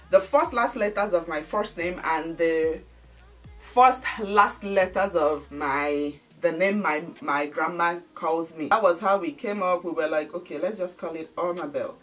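A woman's voice: on the low side, recorded from a distance. A woman in her thirties from Nigeria, speaking with a Nigerian accent, chatting animatedly to camera in a home studio and calling her viewers guys. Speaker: beauty and lifestyle vlogger. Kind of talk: casual chat to camera. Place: bright indoor home studio.

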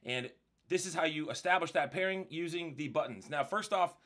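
Man, slightly high voice, casual tech tutorial, conversational, explaining step by step. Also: brisk, a bit bright. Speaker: product tutorial presenter.

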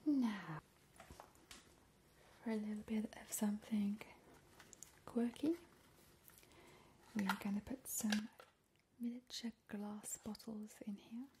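A woman's voice: mid-range voice, slow, pauses often, background noise, close to an everyday voice. A woman voicing a gleeful, ghoulish vampire in an ASMR roleplay, relishing her words and repeating them soothingly. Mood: relishing, matter-of-fact. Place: indoor kitchen counter.

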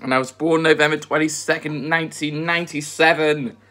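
Slow, earnest young man with a flat voice. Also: a little high. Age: 20s. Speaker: vlogger. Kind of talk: casual eating vlog chat. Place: bedroom at home, indoors.

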